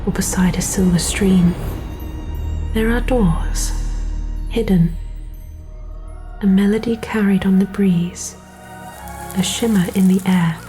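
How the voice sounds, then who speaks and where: A woman narrating a fairy legend for cinematic fantasy ASMR as a solemn, gentle storyteller, hushed and breathy, in measured phrases. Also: medium pitch, natural voice.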